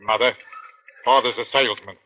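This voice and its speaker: An actor playing a brisk, deferential police officer in a 1950s radio crime drama, terse, matter-of-fact and dryly wry, with a husky voice. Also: male voice, mid-range voice, steady tone, lightly acted. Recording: dull old radio recording.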